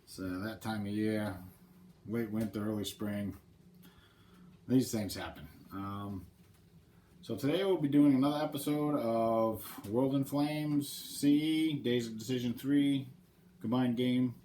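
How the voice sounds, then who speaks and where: A man's voice: medium pitch, warm tone, a little hiss or hum. A man with a head cold hosting his channel, talking casually through a board game playthrough and explaining the rules step by step. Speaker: channel host.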